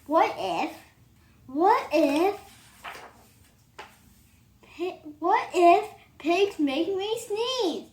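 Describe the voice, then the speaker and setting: A young-sounding female reader giving an expressive, theatrical read-aloud of a children's picture book. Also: very high-pitched, extremely slow, long pauses, roomy sound, slight background noise.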